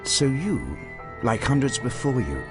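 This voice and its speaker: A man's voice: medium pitch, sing-song, unhurried, pitched above the performer's own voice. A man voicing a wise, kindly old wizard headmaster in a storybook narration. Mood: measured, wise. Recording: music behind the voice.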